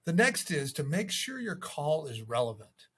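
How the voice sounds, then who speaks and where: A man's voice: on the high side, big pitch swings, moderate pace, pushed and bright, breathy edge. A man giving instructional sales tips as a coach, in a conversational manner. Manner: conversational, acts out sample calls. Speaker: real estate sales coach.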